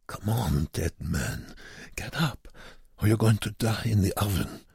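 A bitter male prisoner in an audiobook, played by a man. His voice is rough and dry, raised a little above a low register. He goads and taunts with hard urgency. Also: animated, slow, dry recording, heavily acted. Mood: urgent, harsh. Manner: taunting, urgent, sometimes whispered. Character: bitter, goading male concentration camp prisoner. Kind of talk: audiobook character voice.

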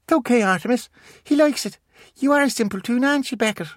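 A man's voice: very high-pitched, fast, no echo, studio quality, voice pushed up. A man voicing a smug, precocious little boy who fancies himself a scientist. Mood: smug child.

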